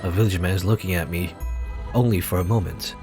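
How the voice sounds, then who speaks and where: A man voicing a brooding male beast-man who hides among humans, speaking in low, hushed, anxious tones. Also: a little fast, music in the background. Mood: fearful.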